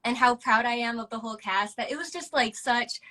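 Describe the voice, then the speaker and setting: Woman host, fairly high voice, bright and sharp, congested and nasal, bubbly, live video call. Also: brisk. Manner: bubbly, self-described awkward. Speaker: talk show host. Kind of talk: casual live-stream interview. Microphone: home microphone on a video call.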